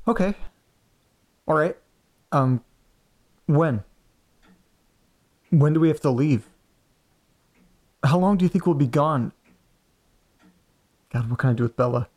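A man's voice: on the high side, takes it slowly, long pauses, darkish tone. A man playing an anxious, scatterbrained, polite young man in an audio drama, speaking in a hesitant, rambling way. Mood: flustered. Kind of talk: naturalistic audio drama acting.

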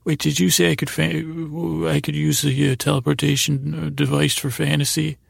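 A man playing a weary sleeper who pleads with his inner voices, halting, put-upon and easily distracted, with a gravelly voice. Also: a little high, level tone, no room sound, clean studio sound.

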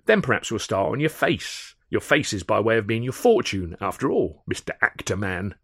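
A man's voice: on the low side, sing-song, normal speed, exaggerated voice. Man acting a drawling, sneering sailor thug. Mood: sneering menace. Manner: drawling, sneering, rough dialect grammar. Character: burly sneering sailor thug, nastily menacing, feigning innocence.

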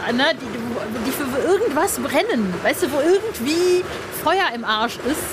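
A woman's voice: high voice, lively intonation, moderate pace, crowd noise, background noise. A woman hosting a podcast in a casual, chatty way, speaking informally and effusively with plenty of slang. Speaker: podcast host.

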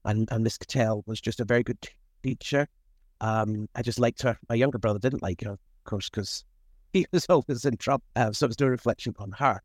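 A man's voice: mid-range voice, brisk, darkish tone, no room sound. Modest man in his fifties, chatting, dry sound. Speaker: podcast guest, food safety executive. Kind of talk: casual reflective interview chat.

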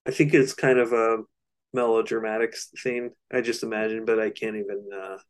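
Low, fairly monotone man co-hosting. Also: brisk. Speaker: podcast co-host. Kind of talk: casual podcast discussion.

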